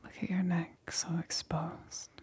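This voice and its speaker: A woman's voice: lowish voice, level tone, dark tone. Woman acting a whispering, seductive infected lab assistant. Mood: seductive, menacing. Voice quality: breathy, whispery. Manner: slow, intimate, coaxing. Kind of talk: ASMR roleplay.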